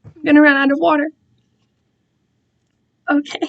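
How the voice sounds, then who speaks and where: A young woman with a fairly high-pitched voice hosts a casual livestream. She talks in a loose, rambling style, animated and prone to interrupting herself as she goes. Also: normal speed, muffled recording. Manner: animated, self-interrupting, quotes herself and others dramatically. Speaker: livestream host and amateur fan fiction reader.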